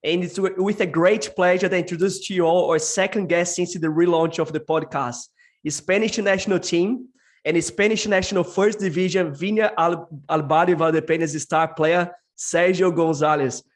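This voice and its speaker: A man's voice: high voice, steady tone, moderate pace. A man hosting a podcast, introducing his guest and asking friendly, enthusiastic questions. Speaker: podcast host. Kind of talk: podcast interview.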